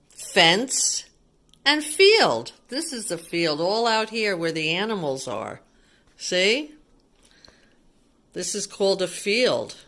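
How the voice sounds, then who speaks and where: A woman's voice: medium pitch, a bit bright. A woman reading a picture book aloud to young children, asking simple questions and explaining things as a teacher would.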